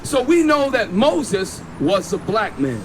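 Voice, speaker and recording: High-voiced man preaching on a loud street. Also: big pitch swings, slow pace. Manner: insistent, instructs a reader to read. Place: city street outdoors. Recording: loud street traffic behind. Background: passing vehicles.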